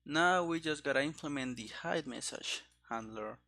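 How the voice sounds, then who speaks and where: A man doing live coding commentary as a game developer, halting and muttering to himself in short fragments. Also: on the high side, creaky, sharp tone.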